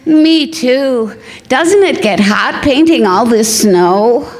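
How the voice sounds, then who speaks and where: A woman's voice: a little high, lively intonation, slow. A woman acting a scatterbrained, optimistic wife who mangles her words in an old-time radio comedy. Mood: breezy, reassuring. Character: scatterbrained, optimistic wife mangling proverbs, running card business. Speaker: actor in a radio play.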